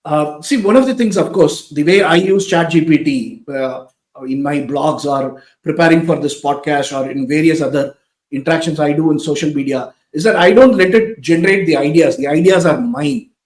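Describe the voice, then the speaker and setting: A man's voice: fairly high pitch, moderate pace, a little mellow, echoey room. A man with an Indian English accent hosting a podcast interview, thoughtfully summarizing his guest's points and asking follow-up questions. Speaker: podcast host. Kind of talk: conversational interview.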